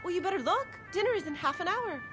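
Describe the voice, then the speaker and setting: A woman as a very high, bossy cartoon miss. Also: expressive, fast. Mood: chiding. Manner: prim, chiding. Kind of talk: cartoon video game voice acting. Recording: loud music behind.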